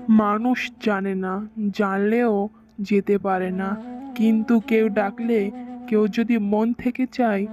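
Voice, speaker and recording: A woman voicing an old village grandfather who tells mysterious fairy tales in a slow, solemn way for a cartoon. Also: mid-range voice, flat delivery, music in the background, close to an everyday voice. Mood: hushed, mysterious. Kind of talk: animated story character dialogue.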